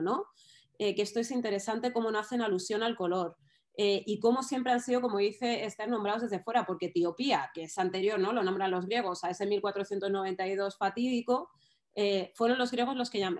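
A woman in her thirties, an author guest, talking thoughtfully on a video call from a small room at home, with many pauses and fillers. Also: mid-range voice. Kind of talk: casual chat on a video call. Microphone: laptop webcam on a video call.

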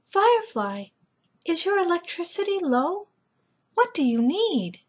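A woman voicing an eager, gentle little girl in a children's storybook. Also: very high-pitched, sing-song, a little slow, voice pushed up, full character voice. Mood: concerned.

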